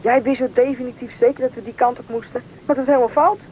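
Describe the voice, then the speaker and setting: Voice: high voice, fairly even intonation, quick pace, darkish tone, dull audio, natural voice. A performer playing an injured, stubborn boy lost in the wilderness, bossy and urgent, in a radio play. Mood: irritated. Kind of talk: Dutch radio play acting. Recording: radio play recording, faint noise.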